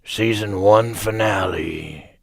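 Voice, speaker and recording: Man playing deadpan narrator, deep, husky, unhurried, comic radio drama. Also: dry recording, heavily acted. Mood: deadpan announcing. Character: deadpan male narrator voice inside the hero's head.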